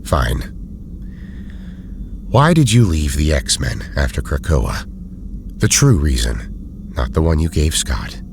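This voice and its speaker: Casting: erudite, guarded mutant hero, rock-bottom gravelly pitch, formal diction, audible background noise. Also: male voice, sing-song, exaggerated voice. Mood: suspicious, sorrowful. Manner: formal, measured, articulate. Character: learned, cautious, formal male mutant hero. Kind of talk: audio drama acting.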